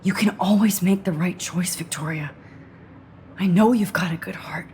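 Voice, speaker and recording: A woman voicing an earnest teenage photography student in a video game, soft and often half-whispered. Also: on the low side, moderate pace, slight background noise, lightly acted. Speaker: game protagonist.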